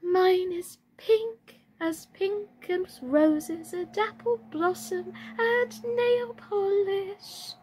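A woman's voice: very high voice, very slow, hardly any pauses, a little mellow, slight background noise, pitched above the performer's own voice, heavily acted, rough recording. A woman voicing a happy, scatterbrained girl doll from a children's storybook, chirpy and singsong, drawing out her words. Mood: dreamy singsong.

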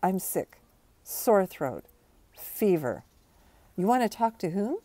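A woman's voice: medium pitch, big pitch swings, slow pace, dark tone, no echo. A woman voicing a sullen, scheming teenage girl in a storybook who plays sick and gives terse, grudging replies. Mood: sullen, feigning.

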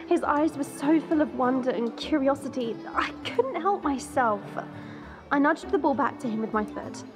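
Woman acting a high-voiced wry dragon girl. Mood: tender. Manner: chatty, confiding, wry. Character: proud, sardonic young dragon woman, secretly soft-hearted. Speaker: narrator and lead character. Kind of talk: first-person audio drama narration.

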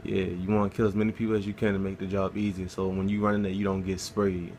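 A man's voice: deep voice, flat delivery, fast, a little mellow, a little hiss or hum. A man casually talking through a game walkthrough, laid-back and swearing freely as he plays. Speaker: gameplay guide narrator.

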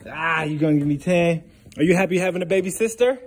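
A man's voice: on the high side, fast talker. A man chatting casually as a home vlog host, playful and encouraging with his family. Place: at home, indoors and out in the yard. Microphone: handheld phone. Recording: clearly noisy handheld phone recording.

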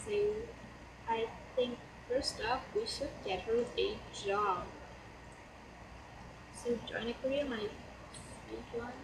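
A teenage girl from Germany with a German accent talks through her game in a casual, hesitant way, with plenty of fillers. Her voice is a bit hoarse from a cold. She sounds distant in an echoey room, with laptop fan noise humming behind her. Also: a little high, takes it slowly, long pauses. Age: teens. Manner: chatty, hesitant, many fillers. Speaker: let's play host. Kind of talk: casual gameplay commentary. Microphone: laptop mic.

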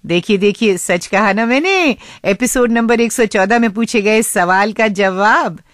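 A woman's voice: mid-range voice, unhurried, no room sound, studio quality. A woman hosting a radio show in a scripted but conversational style.

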